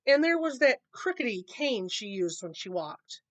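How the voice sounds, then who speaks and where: A woman reading a picture book aloud to children, expressive, with casual explanatory asides. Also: fairly high pitch, normal speed. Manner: expressive, explaining to young listeners.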